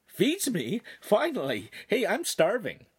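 A man acts a cheeky storybook boy with a silly mock Italian accent. He whines and mocks in a high, widely swinging voice, full of sarcasm. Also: huge pitch swings, takes it slowly, breathy edge, no echo, exaggerated voice. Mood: complaining. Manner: sarcastic, complaining.

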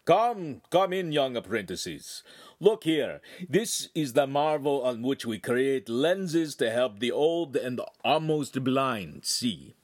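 A man voicing an old, eager male master craftsman who speaks slow, emphatic broken English. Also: mid-range voice, big pitch swings, dry recording, studio quality. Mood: excited.

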